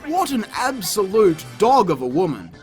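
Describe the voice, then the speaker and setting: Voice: mid-range voice, sing-song, moderate pace, over loud music. A reviewer giving scripted comedic narration in a sarcastic, exasperated, sweary and theatrical manner. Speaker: YouTube video reviewer.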